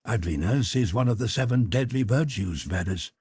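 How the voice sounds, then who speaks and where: A man's voice: on the low side, animated, moderate pace, a little mellow, breathy edge, no echo, studio quality, pitched above the performer's own voice. A man playing a languid, cynical lord. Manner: languid, worldly, drawling aphorisms. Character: cynical epigram-loving English lord, middle-aged. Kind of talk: audiobook reading.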